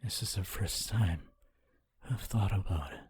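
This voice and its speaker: A man voicing a gentle, wistful ghost of a long-dead scholar in a slow, formal, breathy ASMR roleplay monologue. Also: exaggerated voice. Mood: wistful, reflective. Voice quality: low, breathy, husky. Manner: slow, deliberate, formal phrasing with long pauses.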